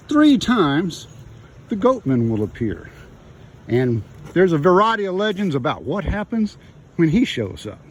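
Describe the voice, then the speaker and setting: A man with a slightly high voice hosts a folksy storytelling vlog. His pitch moves in a lively way as he speaks straight to the viewers. Some outdoor and vehicle noise sits in the recording. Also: rough recording. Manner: folksy, addressing viewers directly. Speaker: host, home builder presenting local stories. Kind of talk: storytelling to camera. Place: outdoors in rain and inside a Jeep.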